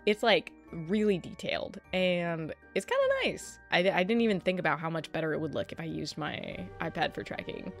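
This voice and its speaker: A woman's voice: lowish voice, moderate pace, hardly any pauses. Woman streaming and chatting with chat, sleepy voice, swears a lot, faint music under her. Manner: chatty, swears often, laughs a lot, reads chat aloud. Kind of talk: casual livestream chat while setting up a 3D model.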